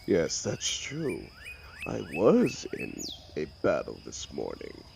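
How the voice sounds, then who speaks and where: A man playing an arrogant, mocking telepathic supervillain in an audio roleplay, with a gravelly voice and a sneering, sarcastic, theatrical manner. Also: rumbling low, wildly swooping pitch, slow, a little mellow, slight background noise, full character voice. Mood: smug, wary. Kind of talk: dramatic audio roleplay monologue.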